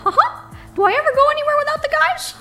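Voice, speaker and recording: A woman voicing a cheeky, brash little character with a squeaky voice in an amateur film dub. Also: lively intonation, fast talker, bright, forceful tone, faint music behind, heavily acted. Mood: cheeky, surprised.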